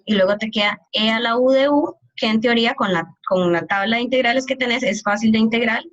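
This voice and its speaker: A woman teaching calculus over a video call, her fairly high voice coming through muffled and lo-fi. She walks through each step patiently and keeps asking her students whether they follow. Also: fairly quick. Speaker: math teacher. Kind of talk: online calculus lecture.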